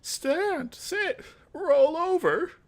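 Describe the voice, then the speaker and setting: Man putting on a high-pitched fussy old wizard. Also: expressive, drawn-out words, heavily acted. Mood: coaxing. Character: frail elderly male wizard craftsman, fussy and apologetic. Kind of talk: dramatic reading of fantasy game dialogue.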